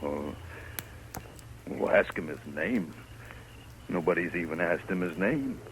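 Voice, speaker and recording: A man's voice: deep voice, fairly even intonation, slow pace. An American man acting in a 1950s radio western as an uneasy, conscience-stricken cowboy in a lynch party, grave and troubled. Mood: uneasy, impatient. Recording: faintly noisy vintage radio drama recording.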